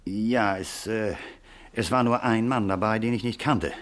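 Guy playing nervous chatty cab driver in old radio krimi, hems and haws, lowish voice. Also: natural voice. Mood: hesitant, chatty. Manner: halting, hemming, chatty, small nervous chuckles. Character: eager, hesitant Hamburg taxi driver witness. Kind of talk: 1950s German radio crime drama acting.

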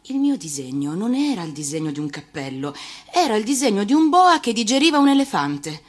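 A woman actor reading a storybook for radio, expressive and theatrical, with deliberate phrasing. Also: mid-range voice. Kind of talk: expressive scripted storybook narration.

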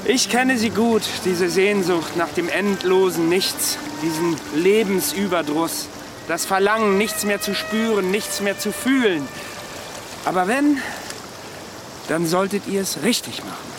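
An actor playing a world-weary immortal former soldier in a radio drama, speaking with dry courtesy and archaic wording. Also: male voice, high voice, a little slow, background noise. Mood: knowing, wry. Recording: music and watery ambience behind voice.

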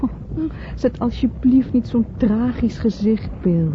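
Radio play actress: emotional, flirtatious wife, higher female voice, muffled recording with heavy noise. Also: a little slow, lightly acted. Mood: impatient, passionate. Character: flirtatious married woman fleeing London, pleading then seductive. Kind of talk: Dutch radio play acting. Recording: dull, noisy old radio play recording.

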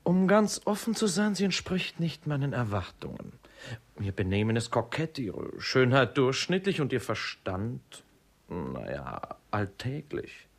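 An actor playing a lovesick young son, earnest and formally polite, in a classic comedy radio play. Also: male voice, medium pitch, sing-song, unhurried. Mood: guarded, feigning indifference. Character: lovesick young son, respectful but guarded with father.

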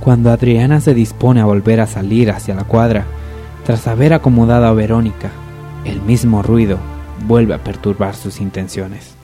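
Narrador grave y suave de radionovela. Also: male voice, lowish voice, fairly even intonation, moderate pace, dark tone. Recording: music behind the voice. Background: music.

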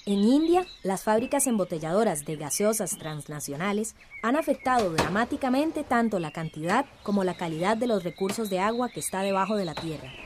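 A woman's voice: mid-range voice, animated, fairly quick, few pauses, background noise, natural voice. A woman playing an earnest, organized village activist in a radio drama, speaking emphatic Central American Spanish. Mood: earnest, urgent.

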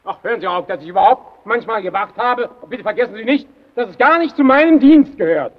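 A dull, narrow old radio recording carries a nervous man on watch duty. His high voice swings widely as he halts and repeats himself, cowed yet rebellious, in old-fashioned radio drama style. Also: lively intonation. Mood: defiant, agitated. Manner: agitated, halting, repeating himself. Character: nervous, rebellious lighthouse keeper long cowed by his domineering colleague.

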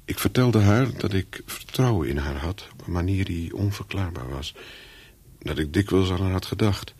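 An actor playing a calculating, persuasive young spy in a radio play, with terse, controlled speech and a husky voice. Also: male voice, sits low, animated, normal speed, a little mellow. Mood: reflective narration. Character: calculating young male spy, persuasive, looking back as narrator. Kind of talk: radio play dialogue and first-person narration.

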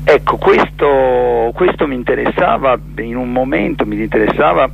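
A middle-aged man, speaking reflectively and haltingly as a theatre director interviewed over a telephone line. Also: medium pitch, animated, vocal fry. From Naples, Italy. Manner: reflective, halting, repeats and rephrases words while searching. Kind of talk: spontaneous phone interview. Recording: telephone line with faint hiss and hum.

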